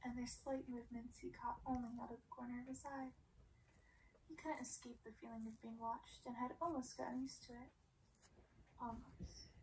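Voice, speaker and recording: A woman reading a story as ASMR in a hushed, deliberate way, often slipping into a soft whisper. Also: a little high, slight background noise, poor audio. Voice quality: soft, breathy whisper at times. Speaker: ASMR reader.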